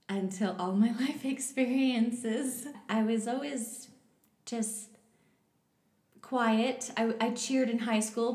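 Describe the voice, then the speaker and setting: A woman's voice: a little high, darkish tone. A woman in her thirties from Canada, a podcast guest who speaks candidly and laughs often. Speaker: interview guest. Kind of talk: casual interview. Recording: echoey room.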